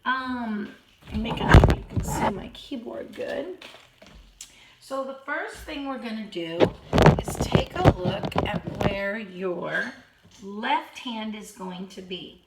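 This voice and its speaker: A hoarse woman who keeps clearing her throat, patiently teaching a children's keyboard lesson and counting beats aloud. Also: medium pitch, lively intonation, takes it slowly, very noisy. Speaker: piano teacher. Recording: roomy, from a distance. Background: keyboard playing.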